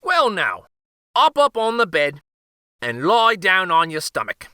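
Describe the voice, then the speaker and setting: A man voicing a teasing, bearded old uncle in a children's storybook, folksy and excitable, often repeating himself. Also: sits high, unhurried, clean tone, dry recording, clean studio sound, voice pushed up, exaggerated voice. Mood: gleeful teasing.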